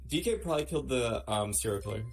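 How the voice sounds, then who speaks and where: A man streamer giving casual live commentary on gameplay as he thinks out loud. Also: medium pitch, expressive, quick pace, soft background music.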